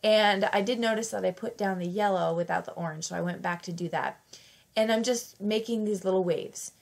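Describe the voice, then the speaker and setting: A woman's voice: medium pitch, brisk, vocal fry. A woman fighting off a cold, narrating a casual craft tutorial in a chatty, self-correcting way. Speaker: craft channel host.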